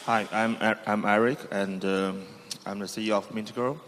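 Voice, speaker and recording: Low flat-voiced man in a hall. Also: slight background noise. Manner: non-native English, halting with fillers. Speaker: panelist, company CEO. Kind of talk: conference panel talk. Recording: long reverb of a conference hall.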